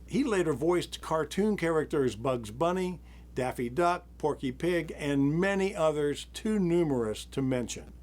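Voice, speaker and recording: Man, show host, relaxed, informative, slightly high voice, studio. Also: measured pace. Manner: relaxed, informative, small laughs. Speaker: theater host. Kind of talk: introducing a live show.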